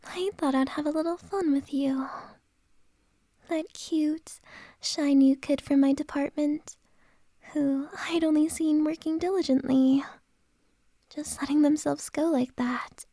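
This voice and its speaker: A playful, flirtatious boss lady teases softly in a breathy whisper, as a woman acts her out in ASMR. Her voice sits high but mellow, drawn out slowly. It sounds dry and close to the mic. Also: fairly even intonation, no echo. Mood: flirty. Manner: soft unvoiced whisper, teasing. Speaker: ASMR roleplay performer.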